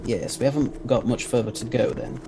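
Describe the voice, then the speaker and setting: Brisk, knowing sci-fi hero played by a man, warm dark tone, average pitch, faint background noise. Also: lightly acted. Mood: assured. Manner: assured, explanatory. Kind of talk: audio drama acting.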